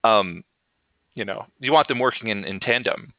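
Man, podcast host, rambling and animated, lowish voice, tinny dry call sound. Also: normal speed, dry recording. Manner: animated, rambling, frequent restarts. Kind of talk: casual chat.

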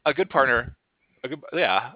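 A man hosting a casual podcast about improv who talks in an animated, rambling way with frequent restarts. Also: medium pitch, normal speed, slightly rough, no room sound. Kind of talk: casual chat. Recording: tinny call audio, dry.

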